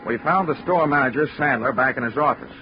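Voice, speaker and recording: A man's voice: medium pitch, quick pace, lightly acted. An actor playing a dry, wisecracking male investigator narrating his case in hardboiled 1940s radio style. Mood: wry. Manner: dry, wry, assured. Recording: muffled 1940s radio broadcast recording. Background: music.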